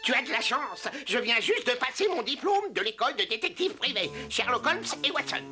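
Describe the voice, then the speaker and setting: A woman voicing a cocky, excitable monkey detective in a cartoon, boastful and theatrical. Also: a little high, brisk. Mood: pompous, sleuthing. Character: cocky excitable monkey playing self-important private detective. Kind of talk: animated series dialogue. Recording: music under the voice.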